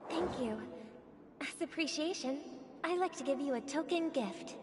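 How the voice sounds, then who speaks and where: A woman playing a gracious young celebrity singer, speaking politely, gently and tactfully in a game scene. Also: high-pitched, expressive, moderate pace, noisy background. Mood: gracious, reassuring. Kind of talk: game voice acting, conversational.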